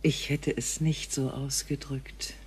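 An actress playing a composed, tactful woman from another world, speaking formally and carefully with a husky voice in a sci-fi radio drama. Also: very deep voice, flat delivery, takes it slowly, darkish tone, exaggerated voice. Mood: composed, grave. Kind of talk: science fiction radio drama acting.

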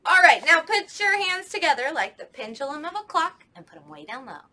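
A woman's voice: high-pitched, big pitch swings, fairly quick, pushed and bright. A young woman, a children's librarian, leading a storytime with songs, talking to kids at home in a sing-song way in a library children's room.

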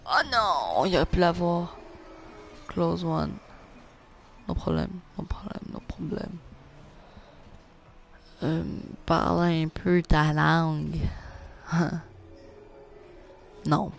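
A young man with a Québécois French accent, live-streaming a car soccer game, chatting with viewers and reacting loudly to plays. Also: a little high, extremely slow, gravelly, pauses often, a little hiss or hum. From Quebec. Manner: excitable, groans and repeats "non" at misses. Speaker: gaming streamer. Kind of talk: live gameplay commentary reading chat.

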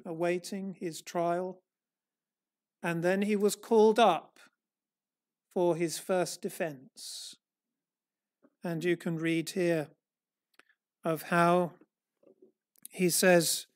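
A middle-aged man, a church elder, preaching a sermon from a lectern in a small church hall, earnest and measured, rising and falling in force. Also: high-pitched. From England. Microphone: far camera, standing at a lectern.

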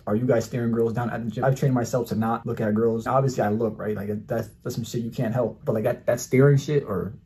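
A man's voice: mid-range voice, steady tone, quick pace, hardly any pauses, warm tone, slight background noise. A young man in his twenties giving brash, boastful, mocking commentary in casual talk, filmed in a small indoor room. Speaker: commentary YouTuber.

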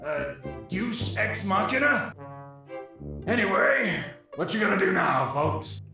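Folksy old ghost granddad, gravelly, slow, sarcastic game character, echoey. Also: male voice, high-pitched, very animated, bright, forceful tone, soft background music, exaggerated voice. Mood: wry amusement. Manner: folksy, sardonic. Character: wry old grandfather ghost, jovial and sardonic. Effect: ghostly echo. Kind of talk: video game character acting. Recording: echoing, distant ghost effect.

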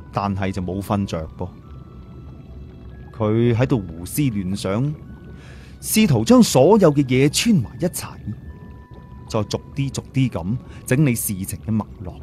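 Audiobook narrator: man, relaxed warm timbre, middle register, telling a scripted story. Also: mid-range voice.

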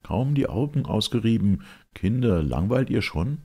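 A man's voice: fairly low pitch, expressive, moderate pace, creaky, warm tone, breathy edge, voice pushed up, heavily acted. A man voicing an ancient, boastful hag who tells tales in theatrical, declaimed verse drama. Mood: confiding, animated. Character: ancient sinister hag storyteller Phorkyas, animated and boastful.

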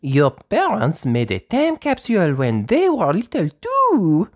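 A woman voicing a kindly female gazelle schoolteacher in a storybook, with patient, drawn-out teacherly phrasing. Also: medium pitch, wildly swooping pitch, pitched above the performer's own voice. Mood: patient, instructive.

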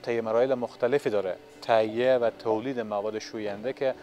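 A man's voice: mid-range voice, steady tone, darkish tone, soft background music. A man, working as a television reporter and interviewing on location with a handheld microphone in a large factory hall.

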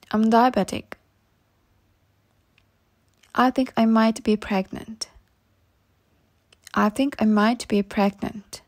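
A woman with a fairly high voice reads practice sentences for English learners. She speaks very slowly and carefully and says every phrase twice so listeners can repeat it. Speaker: English teacher.

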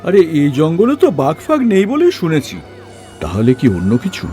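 A grumpy bossy overseer for an audio story, high voice, really up and down, bit of music behind. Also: male voice, huge pitch swings, normal speed, warm tone. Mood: puzzled, uneasy. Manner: grumbling, bossy, colloquial with mild swearing. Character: weary, irritable government overseer, man in charge. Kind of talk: Bengali audio story dramatization.

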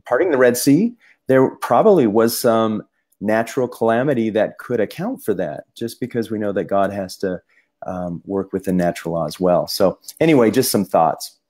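Low-voiced male co-host, casual and halting, full of fillers and restarts. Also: lively intonation, darkish tone. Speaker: podcast co-host. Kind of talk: casual discussion.

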